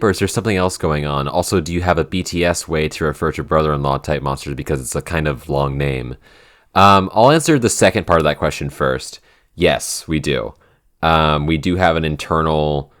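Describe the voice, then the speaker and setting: Dude with a super deep voice cohosting a podcast q&a, casual, says like a lot. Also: male voice, a bit bright. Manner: informal, circles back with frequent "like". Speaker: podcast writer and co-host reading listener questions. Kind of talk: casual Q&A chat.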